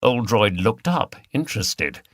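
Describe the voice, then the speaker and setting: A man's voice: lowish voice, big pitch swings, unhurried, no echo, studio quality. A man narrating a mystery novel as a scripted audiobook reading.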